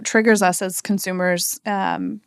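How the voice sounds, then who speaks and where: A woman's voice: mid-range voice, fairly even intonation, unhurried, clean tone, no echo, clean studio sound. A woman, a marketing executive chatting as a podcast guest, thinking aloud with fillers and "right?" tags. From United States. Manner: conversational, thinks aloud with fillers and tag questions. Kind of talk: casual interview conversation.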